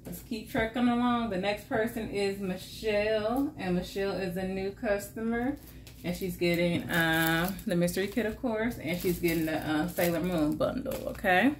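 A woman, chatting casually to her viewers while packing orders, animated and addressing them as "guys" again and again. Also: mid-range voice, normal speed, slight background noise. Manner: animated, repeats "guys" and "like I said", laughs often. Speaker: budgeting vlogger and shop owner.

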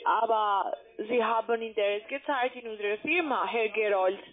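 A woman's voice: on the high side, moderate pace. A woman with a foreign accent, not native in German, asking scripted questions as a telesales agent. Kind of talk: scripted sales call questioning. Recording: tinny telephone line.

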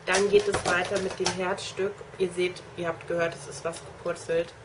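A woman's voice: on the low side, level tone, normal speed, background noise. A young woman chatting enthusiastically to her viewers in a casual show-and-tell vlog, addressing them directly. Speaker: make-up artist trainee showing her kit.

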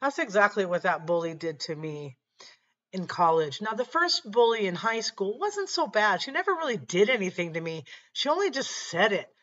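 A middle-aged woman with a slightly raspy voice, telling a personal faith story earnestly to her webcam at home. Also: medium pitch, sing-song, moderate pace, a bit bright, highs cut off. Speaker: channel host. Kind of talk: faith-based personal talk to camera. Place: small room at home, indoors.

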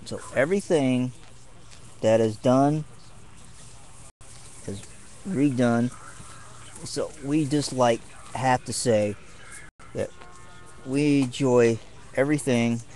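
Slow, halting young man in a tent. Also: medium pitch, darkish tone. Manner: halting, many ums and pauses. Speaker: martial arts vlogger. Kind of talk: rambling casual vlog. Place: tent outdoors while camping.

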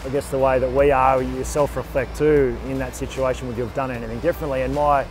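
An Australian man in his forties looks back on his playing days in a thoughtful, easygoing way. His voice is mid-pitched and steady. He speaks outdoors in a stadium, with music playing clearly beneath him. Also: fast, poor audio. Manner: thoughtful, relaxed. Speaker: guest, former player. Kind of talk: reflective interview. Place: outdoors in an empty stadium stand.

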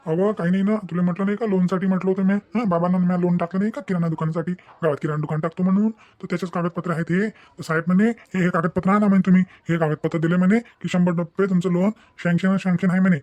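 Cartoon young village husband, played by a man: high voice, extremely fast, blunt, exasperated, rural Vidarbha Marathi accent. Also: fairly even intonation, darkish tone, no echo, natural voice. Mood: hopeful explaining. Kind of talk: Marathi cartoon family-drama dialogue.

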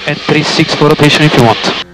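A man's voice: fairly high pitch, steady tone, a little fast. A male race engineer speaking over team radio in terse, clipped instructions, often repeating them. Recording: noisy motorsport team radio, car noise.

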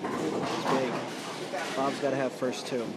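A man's voice: mid-range voice, steady tone, takes it slowly. A man filming with a handheld camera, giving playful mock sports commentary and teasing a bowler during a match in a noisy bowling alley. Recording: loud bowling alley din.

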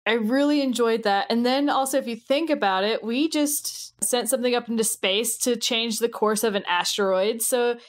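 A woman's voice: fairly high pitch, normal speed, few pauses. A woman co-hosting a podcast, sharing her opinions in casual conversation. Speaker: podcast co-host.

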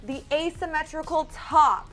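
A young American woman with a somewhat high voice co-hosts a fashion show. She gives casual, emphatic opinions in a studio, with music behind her. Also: measured pace, fairly bright tone. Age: young adult. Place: indoor studio set.

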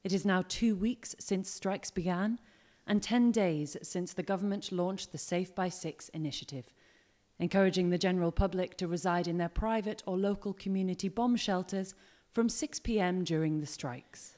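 A young woman playing a formal, measured radio newsreader reading a scripted wartime bulletin in an audio drama. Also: on the low side, darkish tone, natural voice. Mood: formal, grave. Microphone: mic on a stand.

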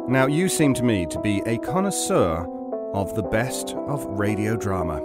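Man reading promo over loud music. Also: lowish voice, expressive, normal speed. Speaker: podcast promo presenter. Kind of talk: conversational promo read. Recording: loud piano and guitar music behind.

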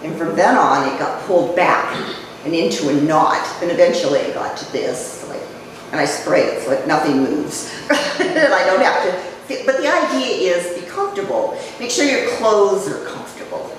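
An older woman giving a chatty public talk to a live audience, her voice dropping low as she speaks. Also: lively intonation, normal speed. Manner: conversational, chatty, jokes with the audience. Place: community event room. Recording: echoey hall, mic far off, noisy.

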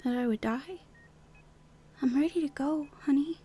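A woman's voice: high voice, normal speed, mellow, close to an everyday voice. An actress playing an earnest, devout wife in a radio drama, acting and narrating her heartfelt testimony. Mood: gentle, assured.

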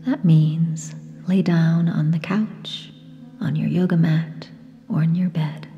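A woman with a soft, breathy, whispery voice leads a guided meditation in short, gentle phrases with long pauses. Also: low voice, steady tone, highs cut off. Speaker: yoga nidra guide. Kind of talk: guided meditation, slow and soothing. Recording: long reverb, soft music behind. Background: sound bath music.

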